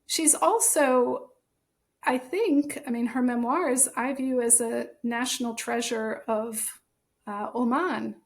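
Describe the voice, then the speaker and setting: A woman's voice: a little high, takes it slowly. An American woman speaking as an interview guest, explaining things in an articulate, conversational way with emphasis. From United States. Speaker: author and translator, interview guest.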